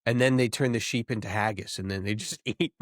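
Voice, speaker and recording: A man's voice: lowish voice, brisk, no room sound, studio quality. A young man co-hosting a podcast, trading sardonic jokes in casual banter. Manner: sardonic, joking. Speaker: podcast co-host.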